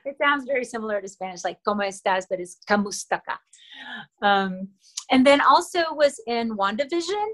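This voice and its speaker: A middle-aged woman from the Philippines whose first language is Tagalog, talking earnestly as an interview guest with fillers and soft laughs. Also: a little high, lively intonation, normal speed. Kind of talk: casual interview talk.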